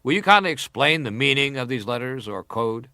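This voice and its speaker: An actor playing an American senator who questions a witness formally, measured and insistent, in a dramatized hearing. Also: male voice, mid-range voice, expressive, creaky, bright, forceful tone, no room sound.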